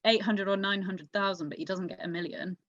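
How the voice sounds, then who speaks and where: A woman's voice: medium pitch, steady tone, fairly quick, fairly bright tone, dry recording. A woman talking casually as a panellist in a motorsport discussion. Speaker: podcast panellist. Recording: dry, slightly dull lo-fi mic.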